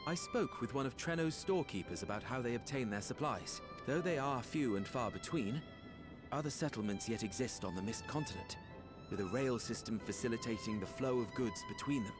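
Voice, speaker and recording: An actor playing a thoughtful, earnest young scholar in a fantasy game, with measured, formal phrasing. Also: male voice, medium pitch, expressive, natural voice. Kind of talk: scripted game cutscene acting. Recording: prominent orchestral music behind. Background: music with strings.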